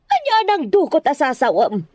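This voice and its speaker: A woman's voice: high voice, wildly swooping pitch, moderate pace, mellow, no echo. A woman playing an irritable wealthy lady and worried mother in an audio drama, dismissive and snappish. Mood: agitated, dismissive.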